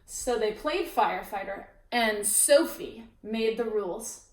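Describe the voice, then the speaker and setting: A woman reading a children's picture book aloud as storyteller, expressive, with chatty asides to her listeners. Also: medium pitch, slow pace. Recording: roomy echo, distant mic.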